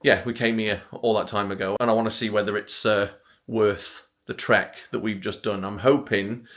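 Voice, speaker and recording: A man, talking quietly and hesitantly to camera in a casual travel vlog, pausing often with ums, on a clip-on microphone. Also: on the low side, fairly even intonation. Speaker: vlogger.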